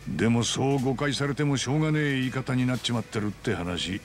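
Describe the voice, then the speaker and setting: An actor playing a frank, worldly man who gives tough advice in rough, matter-of-fact speech in a game. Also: mid-range voice, fairly quick, faint music behind, natural voice. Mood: chiding. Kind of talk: dramatic Japanese video game voice acting.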